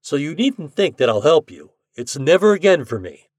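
Man putting on a gruff young bookmaker, blunt slangy talk, raised and louder than the narration, dry close sound. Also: fairly high pitch, very animated, normal speed, no room sound, pitched above the performer's own voice. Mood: defiant. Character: young male bookmaker, gruff, desperate, homesick.

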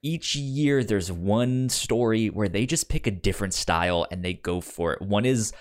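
A man co-hosting a podcast, chatting casually about TV shows in a halting way, often repeating the starts of words. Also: lowish voice. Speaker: podcast co-host.

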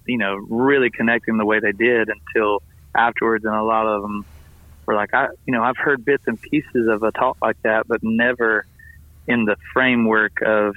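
A slightly hoarse man, a family ministries pastor, calling in to tell an upbeat, enthusiastic story full of fillers. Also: fairly low pitch, steady tone, normal speed, no echo. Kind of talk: casual phone-in report. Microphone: phone call. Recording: tinny phone line.

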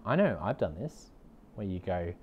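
A man's voice: sits low, big pitch swings, moderate pace, darkish tone, slight background noise. A middle-aged Australian man hosting a leadership podcast, speaking earnestly and upbeat, and repeating key points for emphasis. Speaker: leadership consultant and podcast host. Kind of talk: direct-to-camera motivational talk.

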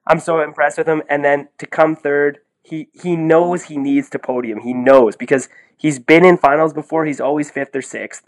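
Excitable man chatting about sport. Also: a little high, moderate pace, hardly any pauses. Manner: excitable, effusive, slangy. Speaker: sports podcast co-host. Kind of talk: casual sports commentary chat.

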